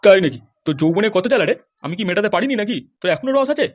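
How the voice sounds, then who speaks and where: Guy doing a angry cartoon politician husband, super fast n high, swearing and scolding. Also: animated. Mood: bitter, scolding. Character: furious, foul-mouthed male politician husband back from presumed death. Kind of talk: comedy cartoon dialogue.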